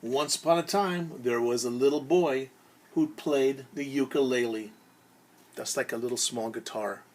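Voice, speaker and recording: A man telling a story as a teacher to young children, theatrical, pausing to explain words simply and acting out sounds. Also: mid-range voice, darkish tone.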